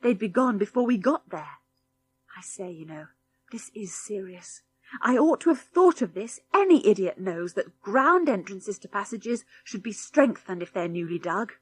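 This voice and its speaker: A woman's voice: mid-range voice, expressive, normal speed, slightly rough. A British woman voicing an earnest, responsible schoolboy who leads a gang of children, grave, anxious and well-spoken, in a storybook reading.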